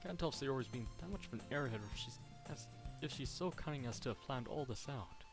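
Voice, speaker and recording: A man's voice: mid-range voice, lively intonation, fast talker. A male streamer with a slight Irish-sounding lilt, reading a game aloud live, giggly and rambling. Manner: giggly, rambling, self-interrupting. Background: game music.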